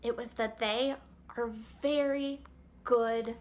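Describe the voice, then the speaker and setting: A high-voiced woman narrates a picture book for children. She reads slowly and expressively, leaving dramatic pauses between phrases. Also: steady tone. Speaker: children's author reading aloud.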